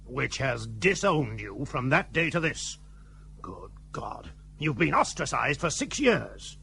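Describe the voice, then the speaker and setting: A man's voice: medium pitch, very animated, takes it slowly, vocal fry, bright, forceful tone, heavily acted. An actor playing a scornful, accusing army man in a period radio drama, cutting in manner, on a faintly noisy recording. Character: scornful, accusing British army man confronting a disgraced officer.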